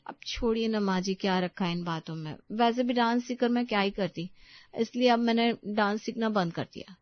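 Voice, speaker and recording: A woman's voice: medium pitch, brisk, no echo, lo-fi sound, natural voice. A woman voicing a breezy, easily bored young housewife in story dialogue. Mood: fed up.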